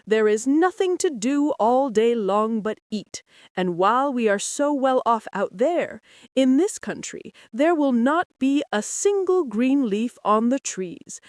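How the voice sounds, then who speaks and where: A woman's voice: fairly high pitch, darkish tone, no echo, clean studio sound. A woman voicing a patient, firm mother stork in a storybook, instructing and reassuring her young.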